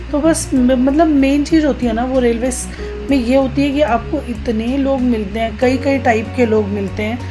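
A woman in her thirties from Uttarakhand, India, narrating a casual vlog in a chatty way, talking directly to viewers. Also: a little high. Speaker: travel vlogger.

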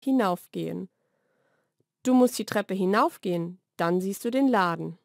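A woman's voice: medium pitch, vocal fry, dry recording. Woman narrating vocabulary, very dry recording. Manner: measured, deliberate enunciation. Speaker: language lesson narrator. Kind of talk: scripted vocabulary narration, single words then example sentences. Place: dry studio voice-over recording.

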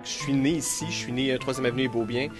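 A man's voice: medium pitch, steady tone, fast, music in the background. A man with a Québécois accent, a longtime resident answering a vox pop with many fillers. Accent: Québécois French. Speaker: lifelong neighborhood resident.

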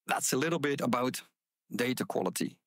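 A middle-aged man giving a conference talk, with a loud voice and many ums and uhs. He speaks on a headset mic in a room with an audience. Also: mid-range voice, lively intonation, fairly quick, dry recording, clean studio sound.

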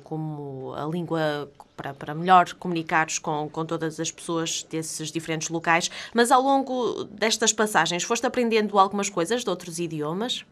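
Woman interviewer, lowish voice. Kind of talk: interview questions.

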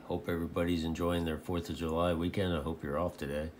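A man vlogging casually about his hobby in a folksy, halting, repetitive way. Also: deep voice, flat delivery. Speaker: video host, radio hobbyist. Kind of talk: casual rambling vlog talk. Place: indoor home radio shack. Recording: clearly noisy home recording.

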